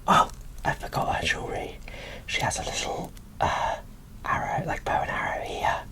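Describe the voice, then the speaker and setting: Female ASMR presenter, fully whispered and breathy, enthusiastic and gushing in a show-and-tell delivery. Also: normal speed, rough recording. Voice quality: soft, breathy unvoiced whisper. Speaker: host of an ASMR doll unboxing channel.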